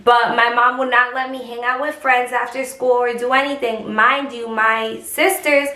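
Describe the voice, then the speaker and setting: Chatty young woman with a bright voice. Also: level tone, moderate pace, roomy sound. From New York. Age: 20s. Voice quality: high, squeaky voice. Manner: chatty, frank, slangy. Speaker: vlogger. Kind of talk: casual talk to camera.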